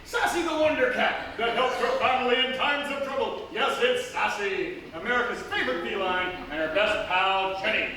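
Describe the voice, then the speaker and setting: A man's voice: very high voice, normal speed, hardly any pauses, sharp tone. A man hosting and announcing in a showy mock old-time radio style, delivering silly copy very earnestly. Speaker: announcer and host. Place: live stage in a theatre. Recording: echoey theatre, distant mic, noisy.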